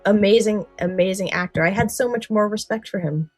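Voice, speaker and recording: An enthusiastic woman chats casually as a podcast co-host. She talks informally and gushes freely in a mid-pitched voice. The sound is dry, with faint music underneath. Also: fairly quick, dry recording. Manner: enthusiastic, gushing; informal, chatty, uses "like" often. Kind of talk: casual chat about films and scary stories.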